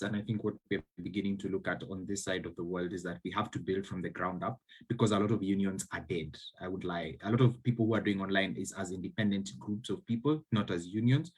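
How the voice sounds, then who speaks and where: Young man, panelist, deep husky voice. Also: steady tone, fast talker, few pauses. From Kenya. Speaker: debate panelist. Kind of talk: casual panel discussion.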